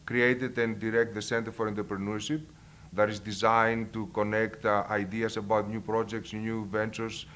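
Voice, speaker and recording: A middle-aged man from Greece with a Greek accent, a marketing professor telling his life story and giving earnest advice, pausing and repeating himself now and then. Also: on the low side, flat delivery, a bit bright. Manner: earnest, with repetitions and hesitations.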